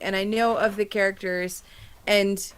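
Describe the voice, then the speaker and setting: A woman's voice: mid-range voice, little pitch movement, measured pace, clear, resonant tone. A woman co-hosting a podcast, giving opinions casually in an emphatic, blunt, sardonic manner. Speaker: podcast co-host, actor.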